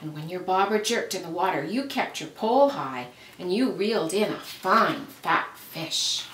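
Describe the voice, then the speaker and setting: Woman reading as a gentle storybook mama, roomy sound. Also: fairly low pitch, expressive. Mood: praising, reassuring. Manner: tender, reassuring, unhurried praise. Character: gentle, loving mother of two young boys. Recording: roomy, noisy, distant microphone.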